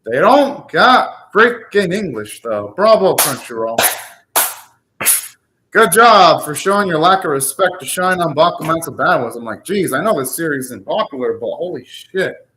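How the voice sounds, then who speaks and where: A man's voice: a little high, animated, a little slow, pushed and bright, slight background noise, poor audio. A young man hosting a live anime reaction, talking casually, ranting and swearing now and then.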